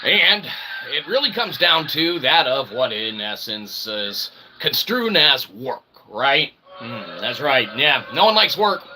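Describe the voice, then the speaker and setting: A man's voice: mid-range voice, very animated, slow, pushed and bright. A man hosting a rambling comedic monologue in a folksy showman's way, with theatrical asides and habitual check-in tags. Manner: folksy showman, theatrical asides, often says "okay" and "that's right". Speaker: host of a solo show. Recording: clearly noisy recording.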